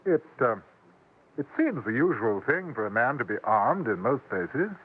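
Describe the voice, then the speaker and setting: An actor playing a polite, wry English newspaper correspondent in a 1950s radio western, courteous and dryly understated. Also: male voice, medium pitch, sing-song, a little slow, breathy edge. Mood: measured. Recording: tinny vintage radio recording.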